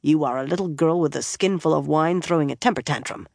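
A woman voicing a gruff older male bard who speaks low, soft and weary. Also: quick pace, no room sound, clean studio sound. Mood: stern.

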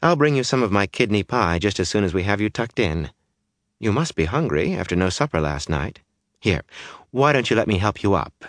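A man's voice: on the low side, sing-song, a little fast, no room sound, clean studio sound, pitched above the performer's own voice. A man voicing a motherly village innkeeper woman in an audiobook, coaxing and soothing yet firm.

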